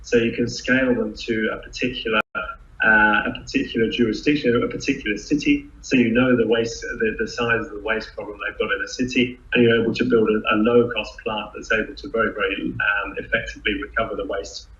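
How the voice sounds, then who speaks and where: A man who founded a startup answers interview questions, restarting sentences often and filling pauses with "uh" and "um". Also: fairly low pitch, little pitch movement, sharp tone. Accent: non-New Zealand, foreign-born. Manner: halting, many restarts and fillers. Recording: echoey, dull lo-fi audio.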